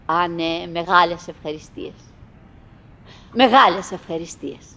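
An actress playing a wistful middle-aged woman who chatters bravely to her husband in halting, theatrical radio drama monologue. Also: lowish voice, pushed and bright. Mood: reflective, halting. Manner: halting, fragmented, dramatic, swinging between murmurs and calls.

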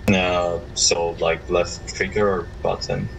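A man's voice: low voice, little pitch movement, slow, vocal fry, fairly bright tone, soft background music, rough recording. A man chatting casually while playing a co-op game, explaining things in an easygoing way. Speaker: gamer in co-op. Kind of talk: casual gameplay chat.

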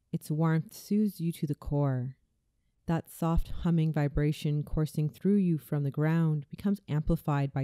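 A woman reading a scripted guided visualization in a soothing, deliberate way, as a meditation narrator. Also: sits low, warm tone, no echo. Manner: soothing, slow, deliberate delivery.

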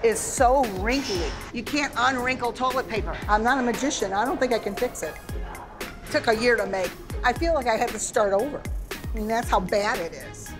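A woman's voice: on the high side, moderate pace, music in the background. A woman in her sixties from Missouri, a contestant and seamstress, talking in a flustered, self-deprecating and giggly way in a reality show confessional interview. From Missouri, USA. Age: 60s. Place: indoor studio by windows.

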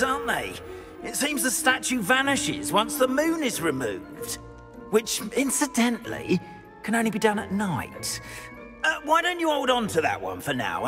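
Jumpy middle-aged caretaker from korea, fussy and apologetic, voice swoops way up and down, music behind. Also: male voice, high-pitched, heavily acted. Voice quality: fretful, apologetic, theatrical. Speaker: school caretaker. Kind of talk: video game voice acting. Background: game music.

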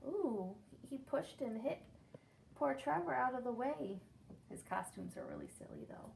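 Woman, 30s, teacher read-aloud: higher voice, unhurried, expressive, simple wording for little listeners. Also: creaky, a little hiss or hum, rough recording. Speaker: teacher reading to young pupils. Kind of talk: picture-book read-aloud with questions to children. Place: indoors, small room against a brick-patterned wall.

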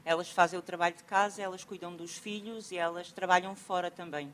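A woman from Portugal with a Portuguese accent, speaking at a conference, halting at times as she looks for words. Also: fairly low pitch, steady tone, fairly bright tone. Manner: halting, pausing to find words.

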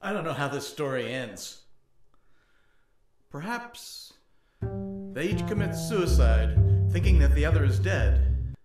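A man in his sixties narrating a fairy tale theatrically and deliberately, with long pauses. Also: very animated. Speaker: storyteller and musician. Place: indoor room with paintings. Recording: echoey room, loud bass music. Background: plucked and bowed double bass.

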